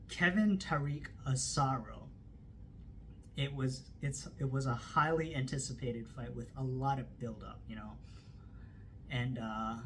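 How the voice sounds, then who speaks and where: Slow-talking man recapping fight results. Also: medium pitch, slight background noise, low-quality recording. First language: not Turkish. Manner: casual recap with fillers and self-corrections. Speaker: podcast host. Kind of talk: solo combat sports commentary podcast. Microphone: phone camera.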